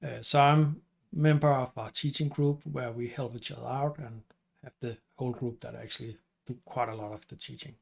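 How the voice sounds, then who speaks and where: A middle-aged man with a Danish accent, hosting his channel and explaining at an unhurried pace with some fillers and restarts. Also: mid-range voice, steady tone, creaky. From Denmark. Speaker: channel host and teacher. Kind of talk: casual explainer talk to camera.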